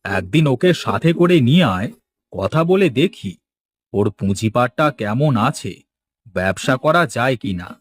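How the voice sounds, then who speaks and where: A man puts on a village merchant boss for a cartoon. His pitch sits in the middle to low range, and he speaks in a commanding, familiar way, like a master to his workers. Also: expressive, unhurried, natural voice. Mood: authoritative. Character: bossy fish wholesaler, village merchant master. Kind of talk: Bengali cartoon dialogue acting.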